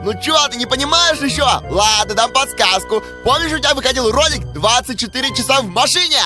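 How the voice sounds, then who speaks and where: Sing-song high-voiced teasing man. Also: animated, brisk, over music. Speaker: co-host running the challenge. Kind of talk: playful gameplay banter.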